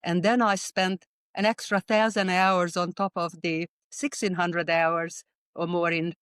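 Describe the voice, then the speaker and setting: A woman's voice: on the low side, little pitch movement, a little slow, fairly bright tone, smooth, dry recording, studio quality. A woman with a Hungarian accent, a dentist telling her story earnestly as an interview guest.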